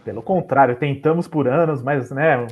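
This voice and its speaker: A man's voice: medium pitch, moderate pace, dull audio. A man hosting a casual interview, friendly and joking back and forth with his guest. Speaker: podcast host. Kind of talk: casual chat.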